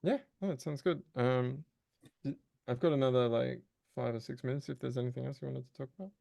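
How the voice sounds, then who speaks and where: A man's voice: mid-range voice, fairly even intonation, a little fast, gravelly, mellow, no room sound. A man in casual conversation, talking in a thoughtful, tentative way. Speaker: voice actor, guest.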